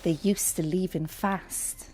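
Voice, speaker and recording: A woman reading a picture book aloud to young children, expressive and speaking straight to her listeners. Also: fairly low pitch, takes it slowly. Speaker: storyteller and teacher reading to children. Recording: music under the voice. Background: music and sound effects.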